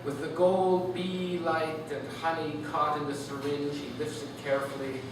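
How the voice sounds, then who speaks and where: A man giving an animated, lyrical literary reading to an audience, phrasing deliberately. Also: a little high. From Canada. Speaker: author reading own work. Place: live reading event in a room. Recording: echoey noisy room, distant mic.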